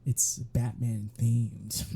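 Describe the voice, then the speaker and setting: Wry, protective male vampire played by a man for intimate ASMR roleplay; unhurried, raspy-soft delivery with dry flirtatious banter. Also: mid-range voice, flat delivery, exaggerated voice. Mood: amused, teasing.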